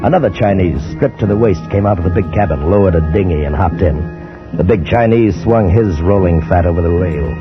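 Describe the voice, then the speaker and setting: Muffled old radio, music behind, guy playing really deep adventurer narrating his story, earnest and dramatic. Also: a little fast, lightly acted. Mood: tense, descriptive. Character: earnest male adventurer telling his tale. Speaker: first-person narrator and character. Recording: dull old radio recording, loud music.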